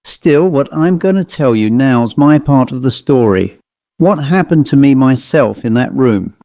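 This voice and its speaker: A man's voice: mid-range voice, lively intonation, measured pace, warm tone, no echo. A man voicing a plain-spoken travelling salesman telling a grim storybook tale in a dry, colloquial, conversational manner. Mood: confiding. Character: haunted male commercial traveller, plain-spoken teller of grim tales. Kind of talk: dramatised storybook monologue.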